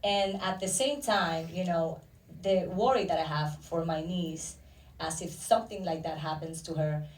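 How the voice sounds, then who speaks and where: A woman's voice: lowish voice, normal speed. A woman with a Dominican accent talking casually as an interviewed filmmaker and actor, telling things like stories. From Dominican Republic. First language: Spanish. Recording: roomy echo, distant microphone.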